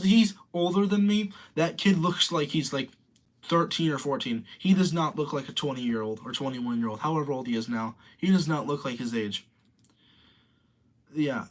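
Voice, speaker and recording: A young man in his late teens, casually rambling through commentary and reading viewer comments aloud. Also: fairly high pitch. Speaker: streamer reading chat.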